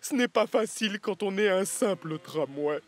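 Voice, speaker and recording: A man's voice: sits high, animated, normal speed. A man voicing a timid, anxious, apologetic little tram engine in a cartoon, hesitant and flustered. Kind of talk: French cartoon dub acting.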